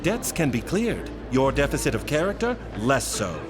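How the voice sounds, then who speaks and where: Proud, embattled provost, swinging intonation. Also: male voice, mid-range voice, wildly swooping pitch, moderate pace, music in the background. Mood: indignant, cutting. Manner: formal, clipped, probing, indignant. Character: embattled, proud but loyal male institute provost. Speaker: actor in an audio drama. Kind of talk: gothic period audio drama acting.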